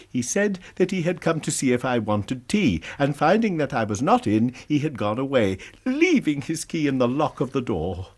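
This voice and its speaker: An actor playing an anxious, proper British college lecturer in a radio drama, speaking formally and precisely. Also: male voice, mid-range voice, darkish tone, husky. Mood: agitated, dismayed.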